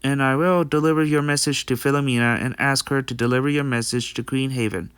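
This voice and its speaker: A man's voice: medium pitch, a little fast, fairly bright tone, smooth, no room sound, close to an everyday voice. A man playing a friendly, dutiful young male pony in an amateur comic dub, explaining things in an earnest, read-out way. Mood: friendly, hopeful.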